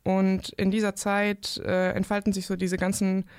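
A woman's voice: mid-range voice, completely flat delivery, moderate pace, darkish tone, clear, resonant tone, no echo, studio quality. A 24-year-old woman, a student and new co-host, chatting casually about a novel with lots of fillers. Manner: chatty, self-deprecating, many fillers. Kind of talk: casual chat.

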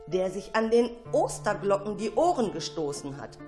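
A woman narrating a children's story in an expressive, dramatic, suspenseful way. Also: mid-range voice, normal speed, faint music behind. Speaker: storyteller, radio show narrator.

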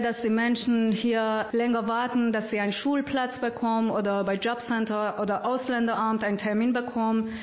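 A woman from Iran who works at an integration agency, answering interview questions in simple, earnest German with small grammar slips. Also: fairly high pitch. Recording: roomy, dull lo-fi sound.

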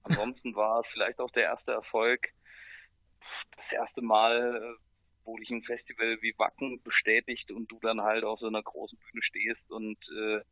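A man's voice: lowish voice, flat delivery, moderate pace. Guy in a band chatting in an interview, slangy, hesitant, muffled lo-fi sound, no room echo. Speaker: metal band musician, interview guest. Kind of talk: casual spoken interview.

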